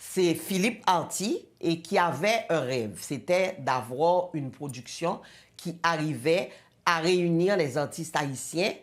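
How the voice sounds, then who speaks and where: A woman's voice: sits low, expressive, takes it slowly. A middle-aged woman with a Haitian accent explaining her work as a guest in a conversational interview. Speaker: show creator, interview guest.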